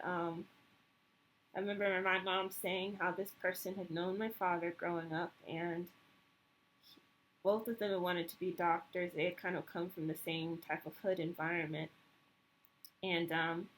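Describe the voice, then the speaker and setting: A woman talking slowly through a painful personal memory, pausing often and searching for words. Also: on the low side, fairly bright tone, poor audio. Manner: halting, searching for words, many pauses and fillers. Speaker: vlogger. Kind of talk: personal reflective monologue.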